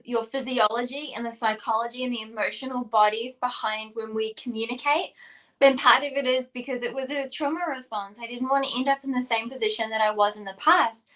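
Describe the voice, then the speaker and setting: A woman's voice: fairly high pitch, a bit bright, rough recording. A young woman with a mixed New Zealand and Australian accent, co-hosting a podcast and explaining her ideas analytically. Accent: New Zealand-Australian mix. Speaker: co-host, stylist. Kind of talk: casual podcast chat.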